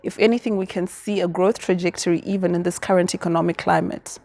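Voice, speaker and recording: A woman's voice: lowish voice, fairly even intonation, quick pace, creaky, a little mellow. A confident, articulate woman from Zimbabwe, answering interview questions about business and activism. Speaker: guest.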